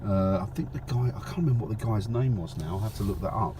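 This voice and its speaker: A man's voice: lowish voice, fast talker. A man from North London chatting casually while driving, rambling with lots of fillers and restarts. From North London, England. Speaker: vlogger. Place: in a car in the countryside. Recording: car noise in the background. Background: road and car noise.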